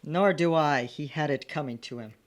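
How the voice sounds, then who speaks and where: A woman playing an earnest, excitable man, a hero's loyal companion, in an old-time radio serial. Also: deep voice, normal speed, close to an everyday voice.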